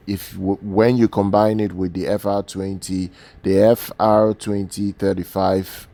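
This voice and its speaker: Low-pitched man, flat and halting. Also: gravelly, no room sound. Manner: halting, repeats words and phrases often. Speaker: audio gear product reviewer. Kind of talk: unscripted product overview commentary.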